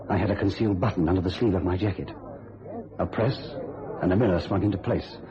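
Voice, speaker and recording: An actor playing a haunted, intense stage magician with a British accent in a dramatic radio thriller. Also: male voice, sits low, natural voice. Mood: tense narration. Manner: dramatic, brooding storytelling. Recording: muffled, noisy old radio recording.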